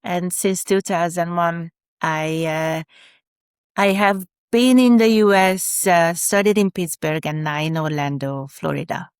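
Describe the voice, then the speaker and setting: A woman's voice: fairly low pitch, measured pace, clean tone, no room sound, clean studio sound. A woman in her fifties from Hungary with a Hungarian accent, a special educator speaking as a podcast guest with many fillers and restarts. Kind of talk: podcast interview.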